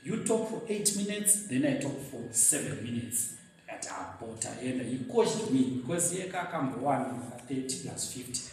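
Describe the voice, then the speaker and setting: A man from Zimbabwe with a Zimbabwean accent, giving an animated, storytelling motivational talk at a luncheon and laughing at his own stories. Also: medium pitch, normal speed, a little hiss or hum. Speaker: guest speaker. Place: event venue hall. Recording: very echoey hall, mic set far off.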